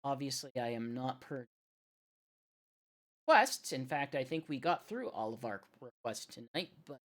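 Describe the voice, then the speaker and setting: A woman's voice: rumbling low, animated, moderate pace. A woman hosting a casual live music stream, rambling and thinking aloud as she goes. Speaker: radio show host.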